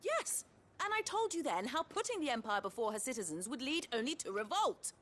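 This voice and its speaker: A woman's voice: sits high, big pitch swings, a little fast, a bit bright. An actress playing a defiant, righteous woman in a fantasy game, with a pointed, formal, impassioned manner. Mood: indignant challenge. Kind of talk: scripted fantasy game acting.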